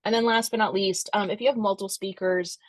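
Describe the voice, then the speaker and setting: A woman's voice: mid-range voice, fairly even intonation, fast, no echo. A young adult woman hosting a video-call webinar and giving conversational advice in loose asides. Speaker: webinar host from a museum association. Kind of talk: casual instructional talk.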